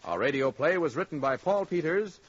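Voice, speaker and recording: A man's voice: a little high, animated, brisk. A man announcing a scripted programme in the formal style of 1940s radio. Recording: dull 1940s radio recording.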